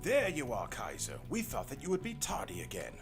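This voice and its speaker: A man playing a cheerful, fussy older gentleman scientist in a comic audio drama, formal and theatrical. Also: on the high side, wildly swooping pitch, brisk, vocal fry, over music, heavily acted.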